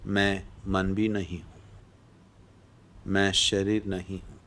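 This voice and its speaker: Very slow, low-voiced male meditation guide. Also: little pitch movement, a bit bright. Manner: deliberate short phrases with long pauses, repetitive.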